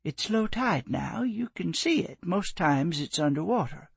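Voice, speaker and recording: Man voicing a genteel old lady, plaintive, raised gravelly voice, husky edge, dry close sound. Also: high-pitched, lively intonation, a little slow, dry recording, pitched above the performer's own voice, full character voice. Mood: wistful. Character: elderly woman, polite, grieving, proud. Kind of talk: audiobook character voice.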